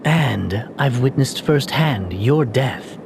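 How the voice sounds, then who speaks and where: A man playing a troubled, gallant young knight in a video game, earnest and formal, with a husky, breathy, halting delivery. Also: medium pitch, lively intonation, noisy background. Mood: grave, confessional.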